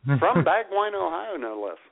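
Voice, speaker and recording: A man with a creaky, mid-pitched voice that swings up and down co-hosts a radio show. He banters casually and wryly, and the audio is thin like a phone line. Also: sing-song, moderate pace.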